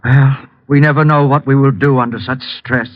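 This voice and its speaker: A smooth, evasive husband who explains everything in a calm, measured way, as a man plays him in a radio drama. His voice sits mid-range, on a dull, lo-fi old broadcast. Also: medium pitch, close to an everyday voice. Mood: composed. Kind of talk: 1950s radio drama acting.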